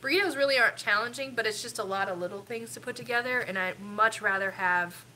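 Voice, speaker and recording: A woman's voice: on the high side, fast, sharp tone, slight background noise, low-quality recording. A middle-aged woman with a stuffy, sniffly nose, rambling casually with lots of ums while hosting a family live stream. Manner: rambling, chatty, with frequent ums.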